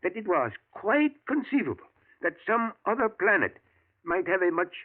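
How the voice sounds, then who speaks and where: A genial old professor. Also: male voice, high-pitched, sing-song. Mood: confiding. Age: older. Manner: measured, thoughtful, chuckling. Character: elderly male professor, genial, musing scientist. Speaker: professor and scientist. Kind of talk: 1940s radio acting. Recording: tinny lo-fi old radio broadcast.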